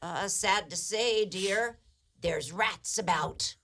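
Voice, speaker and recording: A woman acting a tall, grisly ogre's wife in a radio play, bossy and dryly scolding but grudgingly soft-hearted. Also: mid-range voice, slow pace. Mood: placating. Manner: dry, scolding.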